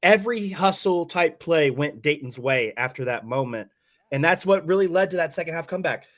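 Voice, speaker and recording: A hoarse-voiced man hosting a sports podcast, breaking down a game casually and candidly with some mild swearing. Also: fairly high pitch, expressive, fairly quick, fairly bright tone.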